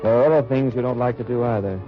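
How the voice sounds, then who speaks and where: An actor playing a tough wartime undercover agent, narrating in clipped, hard-boiled lines in an old radio drama. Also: male voice, medium pitch, fast talker, music in the background, lightly acted. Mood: grim. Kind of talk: 1950s radio drama acting. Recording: dull noisy old radio recording.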